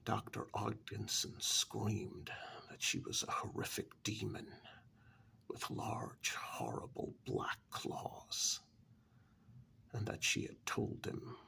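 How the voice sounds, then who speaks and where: A man in a soft-spoken ASMR story role-play, narrating a horror tale in a breathy, gravelly half-whisper as an asylum director, pausing between short phrases. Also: on the low side, big pitch swings. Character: asylum director calmly recounting eerie past events. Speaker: narrator telling a horror tale in first person.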